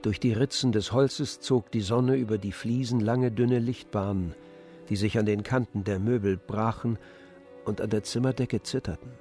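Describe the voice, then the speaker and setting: A man narrating a literary radio play in a measured, composed manner. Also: lowish voice, fairly even intonation, mellow. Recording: dry studio with faint music.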